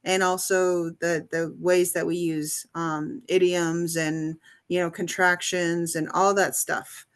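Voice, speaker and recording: Relaxed woman English teacher hosting. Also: fairly low pitch, slow pace, hardly any pauses, smooth. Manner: relaxed, explanatory, many ums. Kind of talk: casual interview chat.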